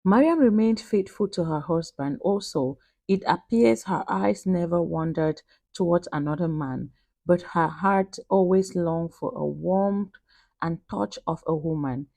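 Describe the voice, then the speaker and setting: Woman narrating a story, creaky close voice. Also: lowish voice, a little slow, warm tone, no room sound.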